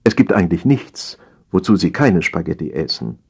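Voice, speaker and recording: A man reads scripted satire as an audiobook narrator. His voice is deep and somewhat raspy, and he delivers the lines theatrically with dry comedy. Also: sing-song, moderate pace.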